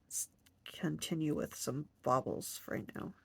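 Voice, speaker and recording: Woman crochet vlogger, stuffy nose, husky gravelly low voice, unhurried chat to herself. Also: dry recording. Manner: talks to herself while crocheting, counting stitches under her breath. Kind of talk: casual hands-on crafting demo.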